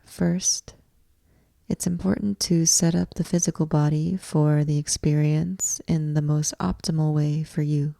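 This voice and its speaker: A woman teaching yoga nidra, guiding a meditation in measured, deliberate phrases with long pauses between them. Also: sits low, steady tone, gravelly, dark tone, no room sound. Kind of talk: guided meditation, slow instructional narration.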